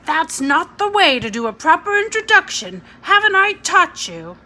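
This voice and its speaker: A woman's voice: very high voice, sing-song, normal speed, bright, forceful tone, pitched above the performer's own voice, heavily acted. A woman voicing a cross, commanding old retired army colonel in a children's storybook reading. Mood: cross, barking. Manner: crotchety, commanding, insists on proper manners. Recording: noisy home recording.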